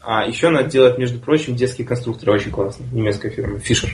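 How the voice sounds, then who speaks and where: A talkative man co-hosting a casual panel chat, who is quick to argue his point. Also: mid-range voice, faint music behind. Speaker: co-host. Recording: roomy echo.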